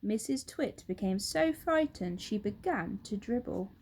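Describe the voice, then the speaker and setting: A woman narrating a children's story aloud in an expressive storytelling style. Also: medium pitch, a little slow, a little hiss or hum. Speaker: storybook reader.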